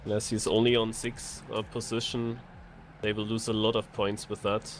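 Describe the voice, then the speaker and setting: A man's voice: fairly low pitch, normal speed, soft background music. A man co-casting a racing game tournament, chiming in with short reactions and laid-back explanations. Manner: short interjections and relaxed explanations. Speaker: co-caster. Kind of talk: live racing game commentary.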